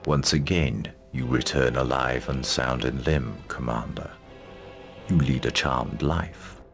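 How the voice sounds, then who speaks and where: Very deep, gravelly emperor. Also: male voice, over music, full character voice. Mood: solemn. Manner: stately, measured, formal. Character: grave, regal male emperor addressing his commander. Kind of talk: fantasy game cutscene acting.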